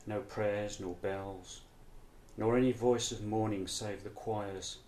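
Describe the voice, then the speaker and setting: Slow, low-voiced man reciting poetry. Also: steady tone, a little mellow. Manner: measured, solemn. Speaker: poetry reader. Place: indoors in a shop. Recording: echoey room, faint background noise.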